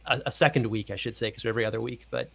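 A man's voice: medium pitch, fairly even intonation, racing, vocal fry, a bit bright. Male, 30s, American. Mid-range voice, modest and easygoing, interview guest on a close studio mic. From Portland, Oregon, United States. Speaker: guest, audio fiction producer. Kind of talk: casual interview introduction. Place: indoor room, video call. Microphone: close studio mic with headphones.

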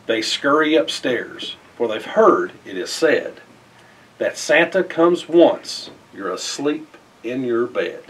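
A middle-aged man reads a rhyming children's picture book aloud in an expressive, theatrical way with emphatic phrasing. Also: medium pitch, slow pace, slightly rough, distant mic, low-quality recording. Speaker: storybook reader. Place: indoors in front of a festive backdrop.

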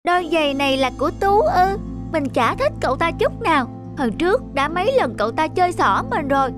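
An actor voicing a young schoolboy in a cartoon dub, scheming and petulant, with expressive delivery. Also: high-pitched, brisk. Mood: resentful. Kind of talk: cartoon dubbing. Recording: music behind the voice.

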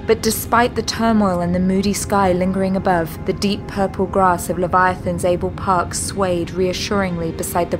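A woman delivers dramatic, scripted narration for a science fiction audio drama. Music plays clearly behind her storytelling. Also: fairly low pitch.

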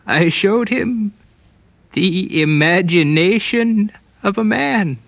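A man acting a solemn, unyielding sage in a radio drama, speaking slowly and formally with a creaky voice. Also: high-pitched, expressive, fairly bright tone, slight background noise, heavily acted. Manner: slow, formal, deliberate. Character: solemn, unyielding sage-like challenger of a galactic conqueror.

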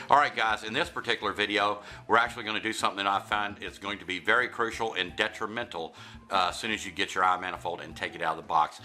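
Forceful, bright-voiced Kentucky man. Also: deep voice. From Kentucky, USA. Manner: folksy, enthusiastic, repeats himself. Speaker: HVAC contractor hosting tech tip videos. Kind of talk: hands-on tutorial narration.

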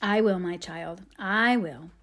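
Woman playing a gentle, patient storybook mother. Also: fairly low pitch. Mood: gentle counsel. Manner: soothing, tender, measured. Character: gentle, patient, devout middle-aged mother.

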